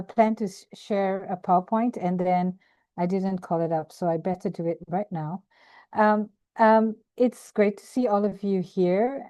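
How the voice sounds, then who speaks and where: A woman's voice: medium pitch, warm tone, no room sound. An older activist woman from Malaysia speaking on a video call webinar, hesitating often with ums and restarts. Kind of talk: casual presentation over video call.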